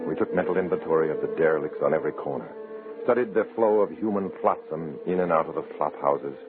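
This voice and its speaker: An actor playing a calm, placating undercover agent in an old-time radio drama, measured and down-to-earth. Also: male voice, extremely low pitch, over music. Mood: grim narration. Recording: noisy, tinny old radio recording.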